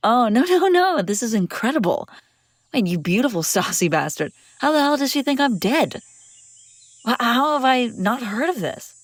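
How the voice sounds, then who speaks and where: A woman's voice: fairly high pitch, animated, a little slow, husky, no room sound. A woman playing a commanding, wry escaped princess in an audio roleplay, speaking dramatically and expressively straight to the listener. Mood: delighted disbelief. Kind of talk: immersive audio roleplay acting, one side of a dialogue.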